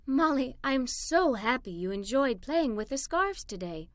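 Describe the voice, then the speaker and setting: A woman voicing a gentle, loving mother in a children's storybook, speaking in a soft, reassuring manner. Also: sits high, very animated, measured pace, dry recording, clean studio sound. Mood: tender.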